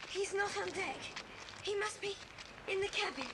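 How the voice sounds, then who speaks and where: A woman's voice: extremely high pitch, moderate pace. A woman playing an eager young British boy in a 1980s children's adventure audio drama. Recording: hissy 1980s cassette recording.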